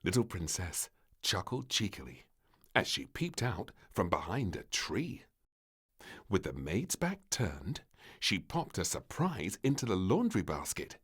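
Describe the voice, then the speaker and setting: Male storyteller, children's picture book narration, very animated, slow, expressive, deliberate phrasing. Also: low voice, breathy edge.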